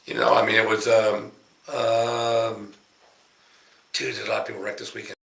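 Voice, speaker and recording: A man's voice: fairly low pitch, little pitch movement, moderate pace, creaky, fairly bright tone. A man co-hosting a casual livestream chat, laid-back, greeting viewers by name. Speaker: podcast co-host. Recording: roomy echo.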